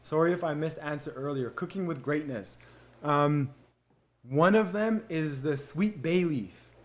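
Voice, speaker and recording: This is a young man hosting a live stream in an easygoing, chatty way. His voice sits a little higher than most men's, and he stops often to read out comments and thank viewers. His speech is loose and casual, with fillers between answers. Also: measured pace. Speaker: livestream host answering viewer questions.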